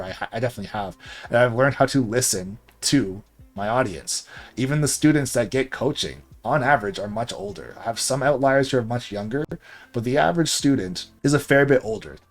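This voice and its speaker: A man, a content creator and coach, talking casually to his viewers in a rambling monologue full of fillers. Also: mid-range voice. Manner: conversational, rambling with frequent fillers.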